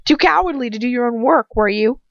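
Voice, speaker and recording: A woman's voice: on the high side, animated, brisk, gravelly. A woman voicing a furious, anguished teenage girl captive in raw emotional outbursts for an amateur audiobook. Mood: accusing. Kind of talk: amateur fan fiction audiobook acting. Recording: muffled roomy home recording.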